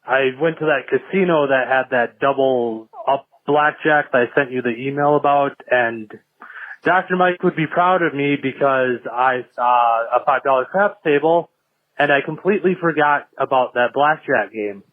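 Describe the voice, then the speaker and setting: A man's voice: mid-range voice, normal speed. A man from Minnesota leaving a casual voicemail as a listener, recorded lo-fi over a phone. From Minnesota, USA. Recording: dull, lo-fi voicemail.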